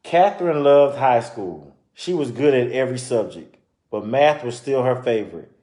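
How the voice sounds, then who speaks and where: A man reading a children's book aloud and pausing to explain and comment informally to his listeners. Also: mid-range voice, animated, mellow. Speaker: reader presenting a children's book. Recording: roomy, echoing sound.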